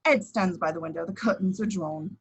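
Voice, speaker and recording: Husky woman narrating a script. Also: lowish voice, fairly quick. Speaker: narrator of action descriptions.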